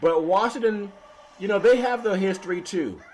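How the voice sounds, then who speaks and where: A man with a raspy, half-lost voice hosting a casual sports talk show, laughing often and talking slowly. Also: high voice, slight background noise. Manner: slow and laughing, keeps calling his co-host's name. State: hoarse, partly lost voice. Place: West Coast radio studio.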